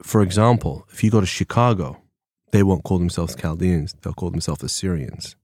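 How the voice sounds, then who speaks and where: A man's voice: deep voice, normal speed, darkish tone, no room sound, clean studio sound. A man with an English accent, a podcast guest who talks in a chatty, wandering way, full of fillers and restarts. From England. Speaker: podcast guest, former police officer. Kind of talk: casual conversation. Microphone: studio microphone.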